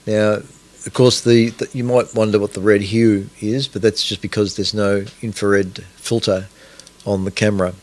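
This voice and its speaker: A man's voice: on the low side, little pitch movement, moderate pace, darkish tone. An Australian man hosting a casual astronomy radio broadcast, rambling and hesitant with many fillers as he sets things up. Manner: rambling, hesitant, many fillers, talks to himself while setting up. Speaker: radio host.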